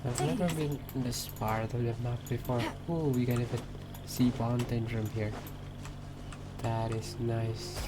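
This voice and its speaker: Very low-voiced, slow woman gamer. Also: fairly even intonation, heavy background noise. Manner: musing aloud. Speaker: game streamer. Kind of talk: casual gameplay commentary. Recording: loud game music behind.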